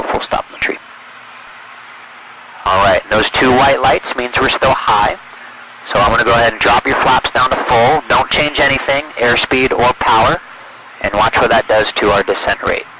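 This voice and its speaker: Male flight instructor, mid pitch, calm and encouraging step-by-step coaching, clear engine noise on the intercom. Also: poor audio. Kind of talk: calm step-by-step flying instruction with radio calls. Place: small airplane cockpit in flight. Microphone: aviation headset. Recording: loud aircraft cabin noise, intercom audio.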